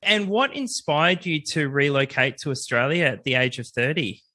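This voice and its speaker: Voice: medium pitch, animated, normal speed, sharp tone. A podcast host asking guests questions and offering brief encouraging prompts. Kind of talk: interviewing.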